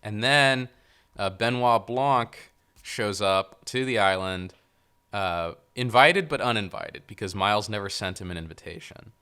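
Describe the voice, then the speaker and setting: A man co-hosting a casual movie podcast, joking and pausing with fillers as he summarizes a plot. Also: fairly low pitch, bright, forceful tone, clean tone. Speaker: podcast co-host. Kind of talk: casual movie review chat.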